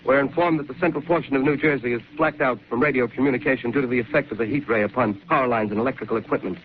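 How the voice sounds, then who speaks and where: An actor playing a grave radio announcer reading urgent bulletins in a 1930s radio drama. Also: male voice, mid-range voice, natural voice. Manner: rapid, urgent news delivery. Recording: tinny 1930s radio broadcast with hiss.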